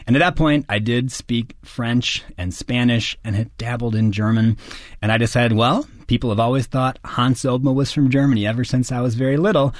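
Actor guest, male, earnest storyteller, sudden upward pitch jumps, dry studio sound. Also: fairly even intonation, moderate pace, dry recording. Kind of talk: interview answers.

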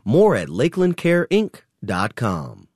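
A man's voice: mid-range voice, wildly swooping pitch, measured pace, darkish tone, no echo, clean studio sound. A man announcer reading a sponsorship message in a formal way.

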